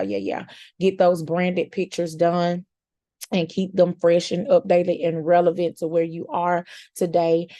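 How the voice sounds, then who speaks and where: A woman with a slightly hoarse, raspy voice, coaching her audience in a chatty, encouraging way with informal slang. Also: on the low side, warm tone, dry recording. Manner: chatty, encouraging, repeats words for emphasis. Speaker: content coach. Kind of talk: casual coaching talk.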